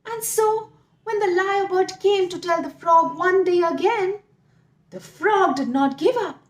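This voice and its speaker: A woman telling a story to children expressively, with dramatic, drawn-out emphasis. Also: very high voice. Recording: echoey room.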